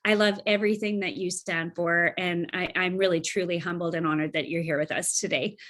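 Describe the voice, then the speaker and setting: A woman hosting a podcast interview, gushing and deliberate as she welcomes her guest. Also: lowish voice, steady tone, fairly bright tone. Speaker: podcast host. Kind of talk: interview host.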